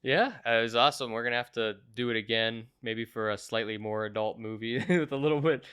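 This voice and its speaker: Clear-voiced young man hosting a casual review. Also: mid-range voice, brisk, fairly bright tone. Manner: enthusiastic, chatty, agreeable. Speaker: host of a movie review show. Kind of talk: casual conversational movie review.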